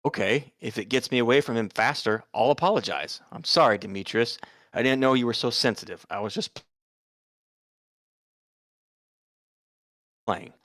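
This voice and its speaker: A man's voice: medium pitch, fairly quick, fairly bright tone, no room sound, clean studio sound, close to an everyday voice. A man playing a defiant, indignant young man in a script read, arguing in slangy street talk. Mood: grudging. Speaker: amateur actor reading a part. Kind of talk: screenplay table read, dramatic dialogue.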